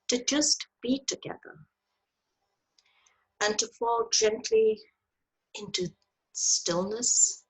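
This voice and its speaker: A woman guides a meditation in a soft, husky voice. Her delivery is very unhurried and gentle, leaving long pauses between phrases. Her intonation rises and falls widely as she speaks over a video call. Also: a little high, poor audio. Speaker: meditation teacher leading a yoga nidra. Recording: video call audio with clicks.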